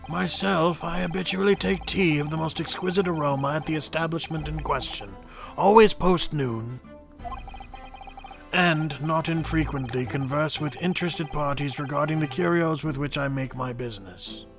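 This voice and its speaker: A man's voice: a little high, normal speed, gravelly, music in the background. A man voicing a pompous old antique dealer in a courtroom game dub, speaking in florid, long-winded phrases. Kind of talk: fan-dubbed courtroom game acting.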